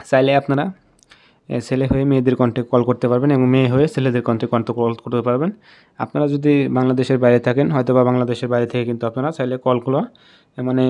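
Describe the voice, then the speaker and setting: A man's voice: medium pitch, darkish tone. A man with a Bangladeshi accent narrating a step-by-step app tutorial as host, in repetitive instructional phrasing.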